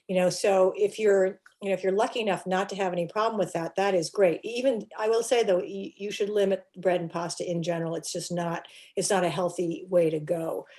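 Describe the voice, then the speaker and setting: A woman health coach explaining nutrition in a relaxed interview, with many restarts. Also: mid-range voice. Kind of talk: casual interview talk.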